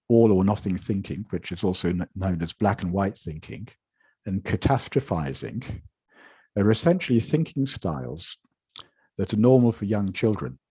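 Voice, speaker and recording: A man giving a measured conference lecture from slides, with frequent repetitions and restarts, speaking over an online video call. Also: fairly low pitch, a little mellow, husky, no room sound. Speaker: conference presenter.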